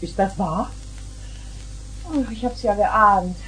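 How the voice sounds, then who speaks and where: An actress playing a brisk, chiding mother in a 1970s German children's radio play. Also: medium pitch, a bit bright, low-quality recording. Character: brisk, fussing mother of young daughters. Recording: faint hiss on old record.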